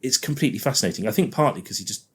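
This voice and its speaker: A man's voice: medium pitch, lively intonation, very fast, breathy edge. A man with a Liverpool accent, a guest organist chatting casually on a podcast, often laughing and thinking aloud.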